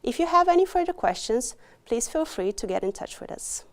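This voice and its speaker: A woman's voice: high-pitched, sing-song, brisk. A woman reading a polite, welcoming scripted introduction for a group of universities.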